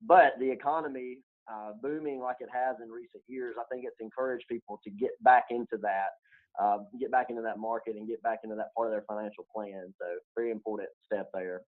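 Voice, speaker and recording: Phone-like narrow sound, man, medium pitch, financial guest, explanatory answers. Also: fairly even intonation, a little fast.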